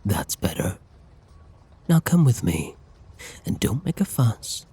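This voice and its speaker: A man playing a flirty, menacing masked villain in an intimate ASMR roleplay, breathy and husky, taunting and commanding in a condescending way. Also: fairly low pitch, sing-song, takes it slowly, dark tone, full character voice. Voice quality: breathy, husky, often near a whisper.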